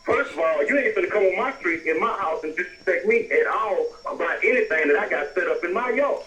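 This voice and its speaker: A man's voice: high-pitched, lively intonation, brisk, crowd noise. A man arguing heatedly on the phone in an indignant, combative, emphatic way. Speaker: prank call recipient. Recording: muffled, distant, echoey noisy phone line.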